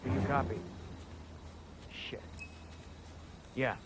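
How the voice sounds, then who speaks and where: A man's voice: medium pitch, steady tone, takes it slowly, over music, close to an everyday voice. A man voicing a flustered field agent. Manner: nervous, fumbling. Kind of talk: video game cutscene acting.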